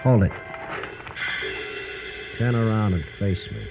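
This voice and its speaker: A man's voice: fairly low pitch, takes it slowly, loud music behind the voice. An actor playing a slangy, terse city-boy gunman in a 1940s radio crime drama. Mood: threatening, cocky. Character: blond thin-faced hired gunman, slangy city tough. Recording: dull, faintly noisy old radio recording.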